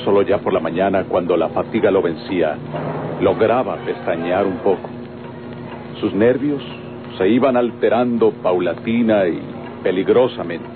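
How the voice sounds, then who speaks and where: A man with a Mexican accent giving solemn, deliberately phrased narration for a dramatic radio serial. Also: low voice, natural voice. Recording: tinny old radio recording, music behind.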